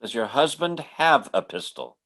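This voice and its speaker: A man's voice: medium pitch, big pitch swings, fairly bright tone. Man acting a wildly swooping, earnest stage psychic. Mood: probing. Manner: halting, stammering. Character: earnest male stage psychic turned urgent helper. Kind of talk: old-time radio drama acting.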